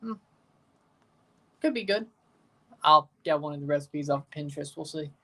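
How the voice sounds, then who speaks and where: A man's voice: on the high side, moderate pace, creaky, pushed and bright. Young man, twenties, livestream host, casual match watchalong, joking banter. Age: 20s. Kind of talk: live match watchalong commentary.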